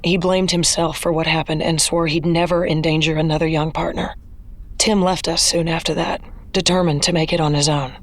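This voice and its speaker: A woman's voice: sits low, level tone, brisk, dry recording, natural voice. A woman playing a weary, matter-of-fact older female police commissioner in an audio drama. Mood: somber, resigned.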